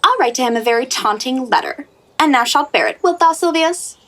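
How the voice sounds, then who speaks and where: A proud young shepherdess played by an actress with a high voice. She speaks in declamatory Shakespearean radio style, haughty, disdainful and petulant. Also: animated, a little fast, fairly bright tone. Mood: petulant, smitten. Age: young adult. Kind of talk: Shakespearean radio play acting.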